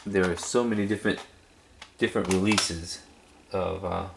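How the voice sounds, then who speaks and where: Man, very low gravelly voice, casual rambling show-and-tell, hesitant delivery, noisy recording. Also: fairly even intonation, moderate pace. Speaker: cassette collector video host.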